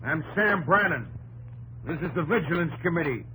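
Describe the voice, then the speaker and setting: An actor playing a stern, commanding vigilance committee leader in a 1950s radio drama. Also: male voice, on the high side, expressive, moderate pace, bright, forceful tone, rough recording. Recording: old radio broadcast with hiss.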